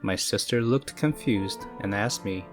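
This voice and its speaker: A man giving calm, measured, scripted narration of creepy first-person stories. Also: fairly low pitch. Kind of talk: scripted horror story narration. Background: faint eerie music.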